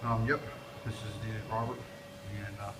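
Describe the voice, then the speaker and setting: A man's voice: lowish voice, little pitch movement, normal speed. A man giving a casual, off-the-cuff equipment walkthrough in an informal, explanatory way, recorded indoors on a handheld camera. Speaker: video presenter, DJ and PA technician. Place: indoors, brick-walled workshop building. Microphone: handheld camera or phone, speaker off screen. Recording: noisy handheld camera audio with room ambience.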